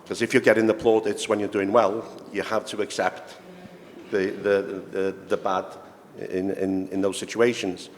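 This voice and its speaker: A man speaking as a sporting director on a conference panel, measured and repeating words as he builds his points. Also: lowish voice, flat delivery. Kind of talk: panel discussion. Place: conference hall. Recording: reverberant hall with faint noise.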